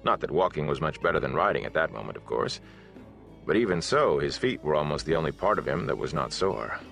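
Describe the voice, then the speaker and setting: A man reading a fantasy novel as an audiobook narrator, with a measured, dramatic storytelling delivery. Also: extremely low pitch, big pitch swings, creaky, a bit bright, soft background music.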